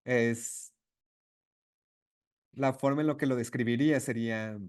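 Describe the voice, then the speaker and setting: Guy doing live interpreting for a webinar, mid pitch, stop-start phrasing, corrects himself as he goes. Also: fairly even intonation, a little mellow, smooth. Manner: halting phrases with false starts and self-corrections.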